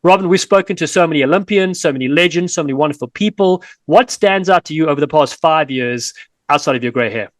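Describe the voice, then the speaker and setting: Chatty South African man, higher voice. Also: fast, no echo. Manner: chatty, energetic, playful teasing. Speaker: podcast co-host and rugby commentator. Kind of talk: upbeat podcast hosting.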